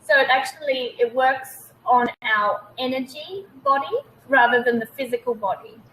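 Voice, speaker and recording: An Australian woman in her late thirties speaks with a bright, tense voice, a little higher than most. She talks casually and hesitantly over a video call, and a faint noise sits under her voice. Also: moderate pace, low-quality recording. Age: 30s. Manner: hesitant, many ums. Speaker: guest naturopath. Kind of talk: casual webinar interview.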